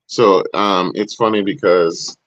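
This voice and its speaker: A middle-aged man with a deep, booming voice, hosting a podcast and making emphatic, animated points. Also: takes it slowly, no room sound, dull audio. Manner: animated, emphatic, rising to sharp questions. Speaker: podcast host. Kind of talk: casual podcast discussion.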